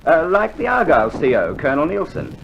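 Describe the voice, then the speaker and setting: An actor playing a laconic, dryly stern Australian sergeant major with gruff understatement, in a 1960s radio drama. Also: male voice, fairly high pitch, wildly swooping pitch, a little fast, exaggerated voice. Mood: wry warning. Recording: muffled 1960s vinyl, faint noise.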